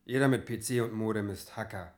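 An actor playing a crude, grumpy young hacker. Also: male voice, on the low side, brisk, darkish tone, reverberant, natural voice. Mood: curt. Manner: curt, swearing. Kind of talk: TV drama acting.

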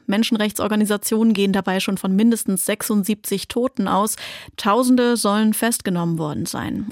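A woman's voice: mid-range voice, dry recording, studio quality. A woman hosting a news podcast, narrating from a script and asking her guests questions. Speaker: podcast host.

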